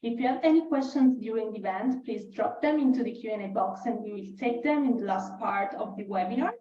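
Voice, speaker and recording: A woman's voice: fairly high pitch, a little fast, a little hiss or hum. A woman hosting a webinar over a video call, giving a scripted welcome. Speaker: webinar host. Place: video call in an echoey room. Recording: muffled, echoey, distant webinar mic.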